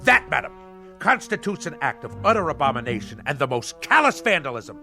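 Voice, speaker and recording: Bombastic, pompous navy-mad husband. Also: male voice, on the high side, very animated, fairly quick, bright, forceful tone, faint music behind, full character voice. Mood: indignant. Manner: pompous, theatrical, old-fashioned florid diction. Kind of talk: radio drama acting.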